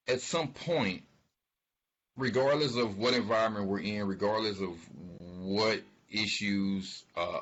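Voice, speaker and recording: A man giving informal financial commentary, speaking haltingly and emphatically, repeating words for stress. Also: mid-range voice, a bit bright, breathy edge. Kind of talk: informal spoken commentary on an earnings call. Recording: dull, lo-fi sound.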